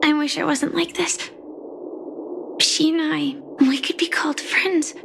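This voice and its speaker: Soft, high-voiced young knight, grieving. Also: female voice, steady tone, gravelly, background noise. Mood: restrained grief. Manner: measured, restrained, wistful delivery. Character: gentle young woman knight, grieving yet composed, quietly resolute. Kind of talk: video game story acting.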